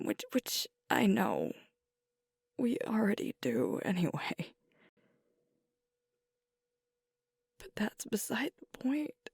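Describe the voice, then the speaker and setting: A woman playing a sweet, vulnerable alpha werewolf girlfriend in an intimate ASMR roleplay, speaking softly and hesitantly as she grieves. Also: fairly high pitch, no echo, studio quality, full character voice. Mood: hurting, self-reproachful. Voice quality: soft, breathy, often whispered. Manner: slow, hesitant, confiding, with pauses.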